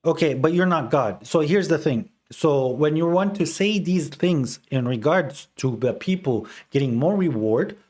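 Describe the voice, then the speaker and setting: A man's voice: a little high, lively intonation, measured pace, few pauses, darkish tone. A man debating in a heated argument, pressing the same short questions again and again. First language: Arabic. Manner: insistent, repeats short questions and fillers. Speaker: debater defending his view.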